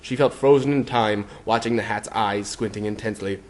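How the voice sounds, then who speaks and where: A man's voice: lowish voice, brisk. A man narrating fan fiction as a mock-dramatic reading. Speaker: narrator, reader.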